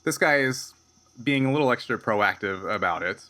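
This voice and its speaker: A man working as game master, narrating a tabletop roleplaying game in an informal, halting way. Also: medium pitch, expressive, pushed and bright.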